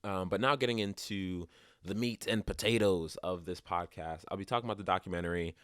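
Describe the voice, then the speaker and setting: A man's voice: low-pitched, steady tone, a little fast, a bit bright, clean tone, dry recording, clean studio sound. A young man hosting his own podcast, talking casually and rambling with restarts, a little stuffed up and sniffly. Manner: rambling, many restarts and fillers. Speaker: podcast host. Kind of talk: casual solo podcast talk. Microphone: close podcast mic.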